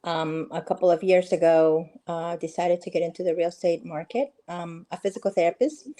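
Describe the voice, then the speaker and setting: A friendly middle-aged woman from Peru, speaking as a guest over a video call. Her voice sits low and mellow, and she often pauses on fillers and starts her sentences over. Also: steady tone. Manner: friendly, many fillers and restarts. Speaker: guest, real estate agent. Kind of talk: casual interview conversation.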